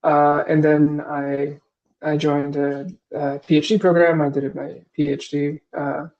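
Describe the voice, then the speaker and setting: Guy in his 30s from singapore, slightly high voice, lots of ums and false starts, podcast guest. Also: little pitch movement, a little slow, vocal fry, highs cut off. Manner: hesitant, many fillers and restarts. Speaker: philosophy professor, guest. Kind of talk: casual interview answers.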